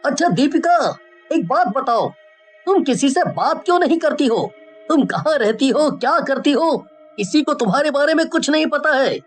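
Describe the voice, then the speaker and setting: A woman's voice: high-pitched, animated, normal speed, faint music behind. A woman voicing a young male college student in a horror story, inquisitive and earnest. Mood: curious.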